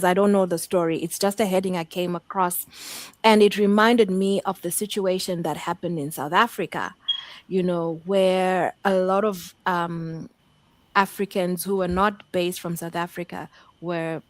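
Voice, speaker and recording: Low-voiced woman hosting a podcast. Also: steady tone, normal speed. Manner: conversational, many "you know" tags. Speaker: podcast host. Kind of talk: casual podcast conversation.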